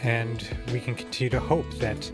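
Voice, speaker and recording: A man with a Canadian accent giving a reflective, measured, conversational report as a podcast host. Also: mid-range voice, steady tone. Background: guitar music under the voice.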